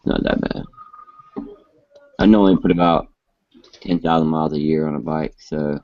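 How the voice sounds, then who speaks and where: Man in his late twenties, very low voice, dry muffled sound, laid-back rambling chat. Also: fairly even intonation, moderate pace, no echo. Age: 20s. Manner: rambling, laid-back storyteller with frequent restarts. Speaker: host. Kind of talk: casual chat. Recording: muffled lo-fi live stream audio.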